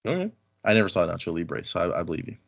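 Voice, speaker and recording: A man's voice: low voice, very animated, racing, creaky, dull audio. A man co-hosting a book podcast, retelling a story in casual, colloquial chat and acting out bits of dialogue. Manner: informal, colloquial, voices characters' lines in paraphrase. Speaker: podcast co-host leading a book read-along.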